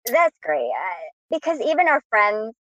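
A woman's voice: a little high, big pitch swings, a little slow, a bit bright, no room sound, dull audio. A woman hosting a podcast interview, complimentary and enthusiastic. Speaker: podcast host.

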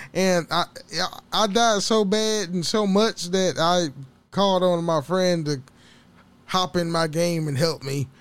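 A man with a somewhat high-pitched voice chats alone into a home mic, loose and rambling. He curses freely and laughs often, and his voice carries a slight hoarseness. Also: slow. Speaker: podcast host. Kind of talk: casual solo podcast chat.

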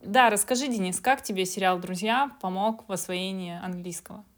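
A woman's voice: medium pitch, moderate pace. A woman co-hosting a podcast, speaking conversationally and sometimes searching for words. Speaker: podcast co-host. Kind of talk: casual podcast chat.

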